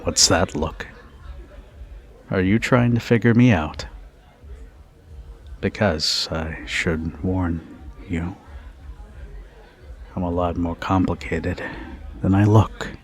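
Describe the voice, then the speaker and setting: Man playing a deep, slow-talking flirty bartender. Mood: teasing. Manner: teasing, unhurried, with soft chuckles and pauses. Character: confident protective male bartender, flirty and teasing. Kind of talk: ASMR roleplay, intimate second-person monologue.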